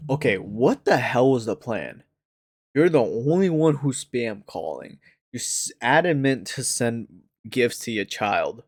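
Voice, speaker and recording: A man streaming a casual reaction to a crime show, slangy and animated, his voice jumping up when he is outraged. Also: unhurried, no room sound, clean studio sound. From United States. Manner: slangy and animated, breaks into outraged high rises.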